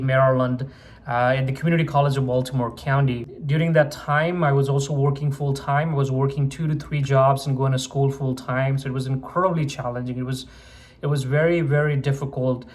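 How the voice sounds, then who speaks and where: A man's voice: mid-range voice, steady tone, a little fast. A man in his twenties from Nepal, a medical student telling his own story on his channel in an earnest way, with many fillers and restarts. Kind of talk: personal story told to camera.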